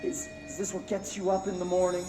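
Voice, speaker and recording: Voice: medium pitch, monotone, fairly quick, natural voice. An actor playing a sullen, unstable captive man in a game, needling the person who evaluates him. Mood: scornful, needling. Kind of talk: game cutscene drama. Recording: echoey room, music behind.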